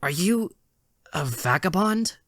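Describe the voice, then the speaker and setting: A man's voice: high voice, animated, takes it slowly, a bit bright, no room sound, clean studio sound, pitched above the performer's own voice, exaggerated voice. A man voicing a young hedgehog hero, earnest and challenging, in a dramatic audio story with urgent questioning. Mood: wary, questioning. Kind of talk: dramatic audio fan-fiction character acting.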